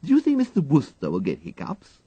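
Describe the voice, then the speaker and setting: A man's voice: a little high, huge pitch swings, fairly quick, mellow, slightly rough, no room sound, muffled recording, voice pushed up, exaggerated voice. A man voicing a mischievous, chattering English schoolgirl in an audiobook, with an eager, gushing manner. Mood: gleeful, eager.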